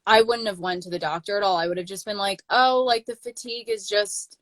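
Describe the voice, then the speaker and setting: A woman's voice: mid-range voice, steady tone, fairly quick, fairly bright tone, no echo. A woman in her late twenties hosting a casual livestream chat, talking loosely with many fillers and restarts. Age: 20s. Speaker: channel host.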